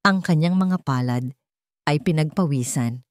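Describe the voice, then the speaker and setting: Female storyteller, low register, scripted dramatic narration, very dry recording. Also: unhurried, no echo.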